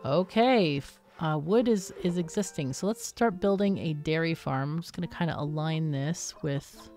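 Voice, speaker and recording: A woman's voice: deep voice, moderate pace, a little mellow, faint music behind. A woman hosting a gaming video with casual let's-play commentary, explaining and thinking aloud as she plays.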